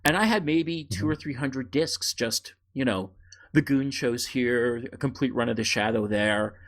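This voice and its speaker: A middle-aged man talks as a podcast co-host in a casual, conversational way. His pitch sits in the middle for a man. He tells stories with animation and slips into quoted voices as he goes. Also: measured pace. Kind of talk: casual chat, storytelling.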